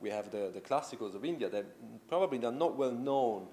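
A man's voice: mid-range voice, expressive, fairly quick, dark tone, slight background noise. A man in his thirties interviewing a guest, asking long, winding questions full of "you know". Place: indoor room with tapestries and a sofa.

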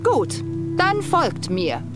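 An actress playing a proud, spirited young countess sorceress in a video game, with formal, dramatic delivery. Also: female voice, sits high, huge pitch swings, drawn-out words, music in the background, heavily acted. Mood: relieved, resolute. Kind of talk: video game cutscene acting.

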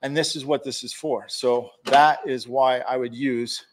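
A man's voice: medium pitch, fairly even intonation, measured pace. A man in his forties in a garage workshop, casually explaining dent repair tools to a live audience. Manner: casual, hands-on, chatty with viewers. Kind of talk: live-stream tool demonstration.

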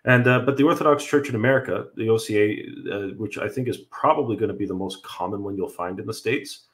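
Deep-voiced young Canadian priest on a livestream. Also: male voice, fast, a bit bright. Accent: Canadian English. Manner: earnest, explanatory, with frequent fillers and light laughs. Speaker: Orthodox priest hosting a livestream. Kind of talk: livestream conversation answering viewer questions. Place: home room with bookshelves. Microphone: video call webcam.

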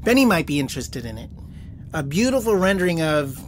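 A woman's voice: deep voice, very animated, fairly quick, a little hiss or hum. A woman acting in an audio drama as an easygoing, protective comic book store manager, friendly and teasing. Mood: warm.

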